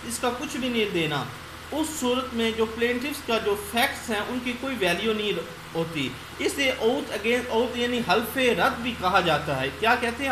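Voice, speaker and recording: A woman giving an explanatory law lecture, reading out points in English and explaining them in mixed Hindi-Urdu. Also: a little high. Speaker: law lecturer and channel host. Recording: roomy echo, clear background noise.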